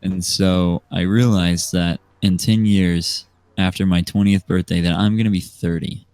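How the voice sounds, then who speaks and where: A man of nineteen with an American accent, chatting and telling stories as a podcast guest in a hesitant, unhurried way. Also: low voice, steady tone, no echo. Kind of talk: casual storytelling chat. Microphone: remote voice call microphone. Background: soft music.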